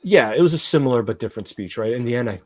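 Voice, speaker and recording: A man's voice: mid-range voice, lively intonation, very fast, a little mellow, no room sound. A man hosting a casual panel discussion, chatting informally about a TV show. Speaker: host of a fan discussion show.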